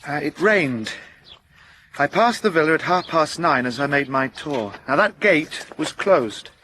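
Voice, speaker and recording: Humble police sergeant, earnest, deferential, slightly high voice, faint hiss. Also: male voice, lively intonation, measured pace, pushed and bright. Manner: deferential, careful storytelling. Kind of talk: radio drama acting.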